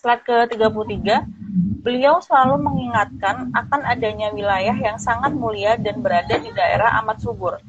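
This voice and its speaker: Female slide reader, slightly high, plain delivery; lo-fi recording with crowd behind. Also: moderate pace. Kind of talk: reading slide text aloud. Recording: muffled lo-fi with crowd noise.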